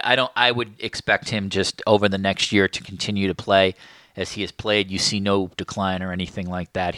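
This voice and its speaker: A man hosting a casual podcast interview, putting his questions loosely and hedging as he goes. His voice is bright and forward, and it sits in a dry, close sound with no room echo. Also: low-pitched. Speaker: podcast host.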